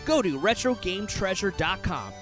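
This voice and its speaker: Upbeat podcast host with a lively voice. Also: male voice, high voice, moderate pace, over music. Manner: upbeat, hyping. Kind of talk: casual chat and ad reads.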